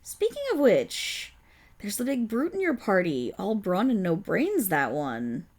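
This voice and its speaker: A suave villain boss, played by a woman, with a gravelly, breathy edge to every word. She purrs condescending endearments, and her pitch swoops and dips all over as she talks. The drama is told as a close, intimate ASMR roleplay. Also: wildly swooping pitch, unhurried, a little mellow, full character voice. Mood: scornful gossip. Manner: silky, condescending, purring endearments. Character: suave, scheming villain boss, possessive and protective. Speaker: voice actor.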